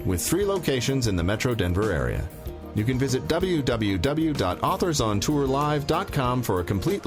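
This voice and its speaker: A man hosting a podcast, reading a scripted welcome in an announcer-like way. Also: mid-range voice. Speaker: podcast host. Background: music.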